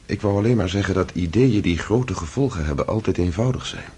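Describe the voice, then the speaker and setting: An actor playing an earnest, affectionate idealist husband in a radio drama, husky, thoughtful and hesitant. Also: male voice, low voice, fairly quick, mellow. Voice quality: breathy, husky. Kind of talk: intimate radio drama acting.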